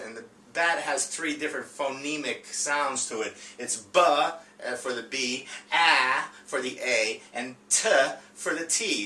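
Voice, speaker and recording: A man teaching a reading lesson in an informal, halting way, repeating words and often checking in with the listener. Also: mid-range voice, sharp tone. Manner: halting, frequent stammered repeats and check-in tags. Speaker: teacher demonstrating a read-aloud lesson. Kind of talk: classroom reading and phonics lesson, informal and unscripted. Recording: roomy, distant mic with faint noise.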